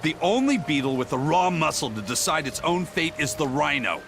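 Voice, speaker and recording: Um ator interpretando um capitão pirata severo e orgulhoso, de fala firme e professoral. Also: male voice, on the high side, big pitch swings, fairly quick, over music. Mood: stern. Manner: stern, lecturing. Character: stern proud male pirate captain. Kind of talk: game dub acting.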